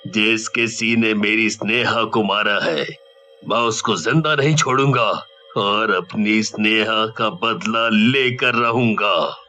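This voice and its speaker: Casting: man for middle-aged cop in a horror story, stern, sharp voice, unhurried delivery. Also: lowish voice, soft background music. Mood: shocked grief. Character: middle-aged male police officer, stern grieving father.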